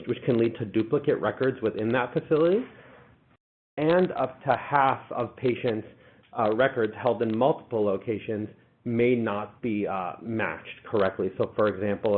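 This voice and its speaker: A man with a cold giving a webinar presentation, sounding congested and pausing often with fillers. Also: mid-range voice. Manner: halting, many uh fillers. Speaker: presenter, policy manager. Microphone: online webinar connection. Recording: phone-like webinar audio.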